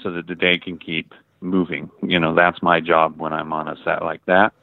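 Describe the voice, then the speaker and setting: A low-pitched middle-aged American man from the Midwest tells stories in a loose, filler-heavy way, swearing casually as he goes. His voice comes through a narrow, phone-like line with a dry sound. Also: flat delivery, moderate pace, gravelly, no echo. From Ohio and Michigan, US. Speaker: guest photographer. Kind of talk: casual interview chat.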